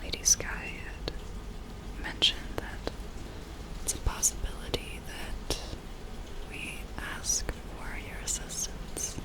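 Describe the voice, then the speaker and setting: Slow whispering woman, gentle ASMR medic roleplay. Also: rough recording. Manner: gentle, soothing, slow, with long pauses. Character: gentle, curious female sci-fi medic examining an alien. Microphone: close-up sensitive ASMR microphone.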